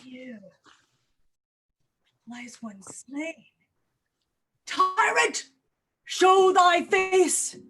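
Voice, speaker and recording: A woman's voice: extremely high pitch, lively intonation, very slow, pauses often, exaggerated voice. A woman playing an anguished, obsessed doctor hunting a killer, intense and declamatory in a mock-Shakespearean staged reading. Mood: defiant.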